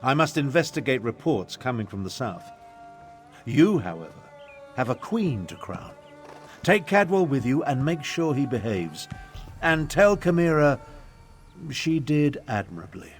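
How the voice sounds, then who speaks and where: An aging, haughty battle mage in a fantasy video game. He is dry, pompous and curmudgeonly, speaking at an ordinary male pitch over faint background music. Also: sing-song, measured pace. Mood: brisk, grave. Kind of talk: video game character dialogue.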